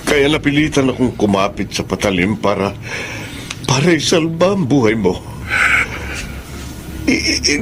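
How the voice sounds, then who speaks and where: An actor playing a remorseful, middle-aged ex-convict father in a radio drama, speaking with a Filipino accent in an earnest, halting, pleading way. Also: mid-range voice, a little hiss or hum, lightly acted.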